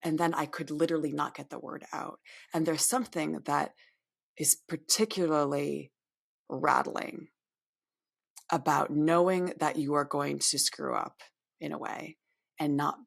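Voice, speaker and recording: A woman's voice: low voice, fairly even intonation, unhurried, gravelly, husky. A woman in her thirties from upstate New York, speaking candidly and reflectively as a podcast guest, often restarting her words. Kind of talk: podcast interview.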